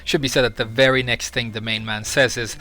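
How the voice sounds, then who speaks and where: A man's voice: mid-range voice, flat delivery, a little fast, bright, forceful tone. A young man from Sweden talking candidly to camera as a video creator, earnest and a little hesitant with fillers. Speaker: YouTuber. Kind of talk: casual talk to camera.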